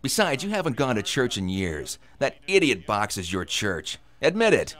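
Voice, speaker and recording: A man's voice: mid-range voice, big pitch swings, normal speed, a bit bright. A man acting in a radio drama as a sardonic, menacing gunman who taunts his hostage. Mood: cold menace. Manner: mocking, taunting.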